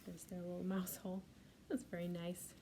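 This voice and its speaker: A woman reading a picture book aloud for a library storytime, adding gentle asides about the pictures. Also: on the low side, completely flat delivery, normal speed, a little hiss or hum.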